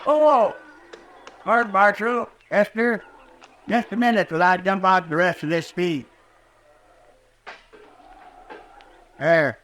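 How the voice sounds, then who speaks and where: Cantankerous old frontier farmer, high-pitched. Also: male voice, measured pace, a bit bright, a little hiss or hum. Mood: grumbling. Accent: rural American Western. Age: older. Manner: folksy, grumbling, combative. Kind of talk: old-time radio western acting.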